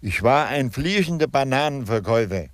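Mid-pitched man in a rambling monologue. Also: lively intonation, a little fast. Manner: repeats and rephrases his phrases.

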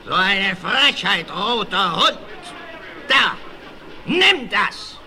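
An actor playing a brash, drunken, menacing bandit gang leader with a taunting, swaggering manner in a 1960s German radio play. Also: male voice, sits high, sing-song, drawn-out words, a crowd in the background, full character voice. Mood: angry, threatening. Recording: vinyl record transfer with faint noise.

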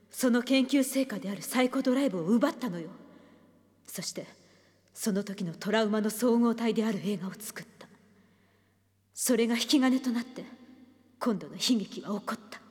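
An actress playing a composed female investigator in a radio drama, measured and solemn. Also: fairly high pitch, a little mellow, close to an everyday voice. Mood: grave. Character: composed, grave female investigator explaining a tragedy. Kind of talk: Japanese radio drama acting. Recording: long hall reverb.

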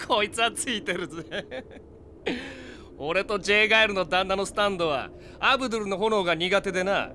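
An actor playing a cocky, cold-hearted gunslinger villain in an anime audio drama, swaggering and taunting with drawn-out words. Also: male voice, high voice, sharp tone, slight background noise. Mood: gleeful. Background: prominent dramatic music.

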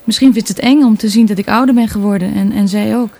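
A woman's voice: mid-range voice, fairly even intonation, quick pace, vocal fry, a little mellow. A woman playing a guarded, melancholy young adult daughter in a naturalistic Dutch radio drama. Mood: pensive.

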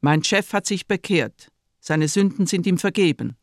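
A woman's voice: on the low side, lively intonation, moderate pace, dry recording, studio quality. A woman playing a pious, cheerfully convinced, self-righteous middle-aged nursing sister in a radio drama. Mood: beaming conviction. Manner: beaming, serenely self-righteous.

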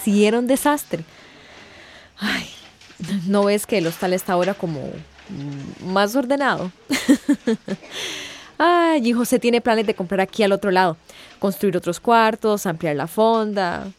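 Woman playing chatty hostel lady in a radio drama, sweet but evasive, medium pitch, slight noise. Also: animated, unhurried, pauses often, close to an everyday voice. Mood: warm, flustered. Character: busy hostel worker, chatty, affectionate, evasive. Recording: faint background noise.

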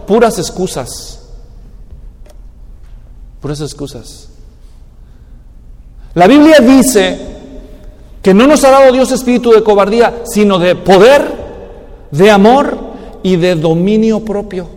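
A man's voice: high voice, expressive, slow pace, long pauses, darkish tone. A man preaching a sermon in an emphatic manner, now and then mimicking people's excuses. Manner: emphatic, acts out excuses in a mocking tone. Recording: long hall reverb.

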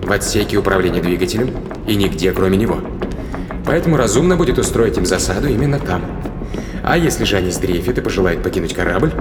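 A commanding spaceship crewman in a sci-fi audio drama. His voice sits low, and he explains and orders in a curt, bossy way. It rings in a big reverberant space with music behind it. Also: lively intonation, quick pace. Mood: urgent, explaining. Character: tense, bossy male spaceship crewman planning an ambush. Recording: echoey hall reverb under music.

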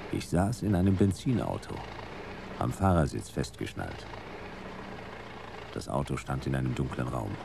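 An actor playing a laconic private detective who narrates a noir radio play in clipped, deadpan fragments, wry and weary. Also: male voice, extremely low pitch, creaky, exaggerated voice. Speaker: narrator and lead character. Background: music and vehicle sound effects.

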